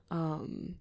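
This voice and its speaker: A woman voicing a shy young male vampire boyfriend in an ASMR roleplay, rambling softly and haltingly in a breathy near-whisper, with stammers and restarts. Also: no room sound, studio quality. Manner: hesitant, flustered, halting, with many stammers and trailing restarts.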